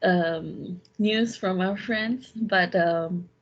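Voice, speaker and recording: Woman, young adult, Malaysian accent, husky voice, casual podcast co-host, dull muffled recording. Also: lowish voice, extremely slow. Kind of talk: casual chat.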